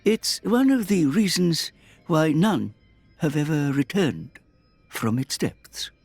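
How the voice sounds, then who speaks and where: A man voicing a wise, grave old mentor with a creaky voice in a fantasy video game. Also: fairly high pitch, animated, slow, darkish tone, dry recording, faint music behind, exaggerated voice.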